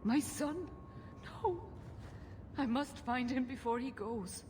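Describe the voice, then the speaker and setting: An anxious elven mother pleads with feeling in a fairly high voice. The video game scene carries long reverb and faint ambience. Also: expressive, slow, darkish tone, slight background noise. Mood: pleading, anxious. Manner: emotional, pleading. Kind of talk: video game cutscene acting.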